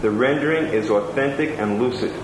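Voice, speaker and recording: A man's voice: mid-range voice, brisk. A man reading letters and reviews aloud, plain and attentive, adding his own asides. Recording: roomy room with clear background noise.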